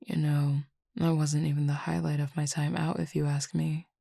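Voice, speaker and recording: A woman playing a calm, teasing Cupid roommate with formal, measured speech in an intimate roleplay audio drama. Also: deep voice, gravelly, no room sound, clean studio sound. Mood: teasing, fond.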